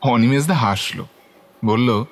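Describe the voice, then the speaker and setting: An actor playing a curious young club member who narrates a ghost story in the first person, with scripted dialogue. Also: male voice, mid-range voice, measured pace, vocal fry. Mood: observant, curious.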